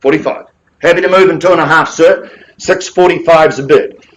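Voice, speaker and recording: A man with a New Zealand accent and a big booming voice, calling bids in rapid rhythmic patter with quips as a live property auctioneer in a room of bidders. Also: steady tone, highs cut off.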